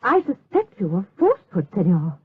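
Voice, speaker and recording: Husky, stern widowed mother. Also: mid-range voice, huge pitch swings, normal speed, exaggerated voice. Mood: wary, formal. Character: stern, protective widowed mother, formal and wary. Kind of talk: period audio drama acting. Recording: dull lo-fi radio drama recording.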